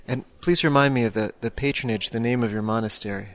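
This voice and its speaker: A man, a priest, hosting an interview with measured, courteous questions. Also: medium pitch.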